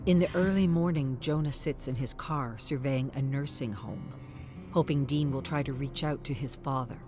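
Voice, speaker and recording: A woman with a very low voice delivers scripted story narration. She reads as a narrator, with soft music underneath. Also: moderate pace.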